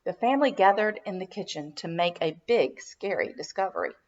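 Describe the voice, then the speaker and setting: A woman narrating a children's storybook in an expressive, dramatic read-aloud style for young listeners. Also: on the low side, brisk, lo-fi sound. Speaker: children's minister reading a storybook.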